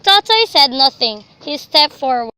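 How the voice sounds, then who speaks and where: A woman's voice: high voice, sing-song, unhurried, sharp tone. A young woman narrating a scripted story for a radio drama.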